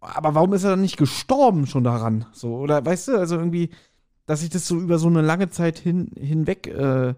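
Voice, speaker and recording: A man co-hosting a podcast in casual chat, musing out loud in colloquial words and repeating himself for emphasis. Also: on the high side, animated, a little fast. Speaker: podcast co-host. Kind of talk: casual chat recapping a radio play.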